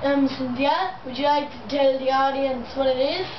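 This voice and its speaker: A young woman hosting a homemade puppet show, improvising in a halting, rambling, enthusiastic way. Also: high voice. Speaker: amateur puppet show host. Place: room in a house. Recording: muffled, distant, noisy echoey home video.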